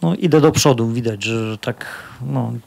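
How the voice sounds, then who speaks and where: A man's voice: medium pitch, normal speed, vocal fry, darkish tone. Casual-talking man in his thirties. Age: 30s. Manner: casual, many fillers and restarts. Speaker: MMA fighter. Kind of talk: press interview answers. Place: press conference room with sponsor backdrop. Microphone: handheld microphone.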